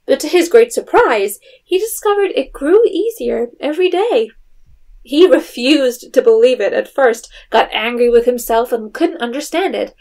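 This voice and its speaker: A woman's voice: high voice, expressive, moderate pace, a little mellow. A woman narrating a literary audio drama in a scripted storytelling style.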